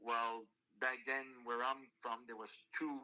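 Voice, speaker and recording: A man's voice: mid-range voice, flat delivery, sharp tone, rough recording. A man in his forties whose first language is French, a country singer telling his story in a casual interview with many restarts. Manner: halting, many restarts and fillers.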